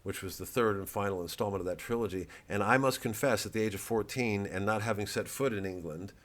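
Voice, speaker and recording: A man's voice: on the low side, fast, a bit bright. A man in his fifties from the United States, giving a rambling, sardonic and profane comedic monologue.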